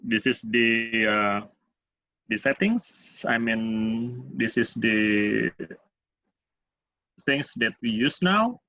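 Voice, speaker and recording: A man with an Indonesian accent giving a medical lecture as a urologist, speaking in halting, hesitant phrases. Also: mid-range voice, big pitch swings, pushed and bright. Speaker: urologist presenting at a medical webinar. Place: online webinar. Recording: dull, roomy webinar audio.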